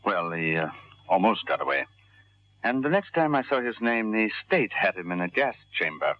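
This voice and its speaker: An actor in an old radio drama playing a patient, soothing psychiatrist who asks measured, mild questions. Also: male voice, fairly low pitch, very animated, no echo. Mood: musing, curious. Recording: muffled vintage radio recording, organ music behind.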